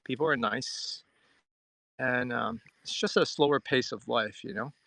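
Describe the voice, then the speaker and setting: A man from Hong Kong chats casually to his live-stream viewers. His voice is bright and forward with a frequent crackle of fry, and it sounds dry, with very little echo. He muses conversationally as he reads and answers comments. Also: medium pitch, measured pace. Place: outdoors at a riverside.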